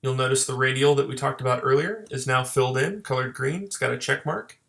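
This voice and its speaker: A man narrating an instructional screen tutorial as a software trainer, explaining plainly step by step. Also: mid-range voice, fairly quick, creaky. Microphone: voice-over for screen recording.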